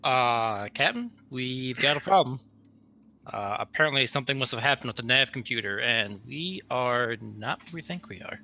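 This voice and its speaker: A man's voice: medium pitch, expressive, moderate pace, bright, forceful tone, lo-fi sound. A man talking casually as a player in a tabletop roleplaying game. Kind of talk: casual in-character game chat.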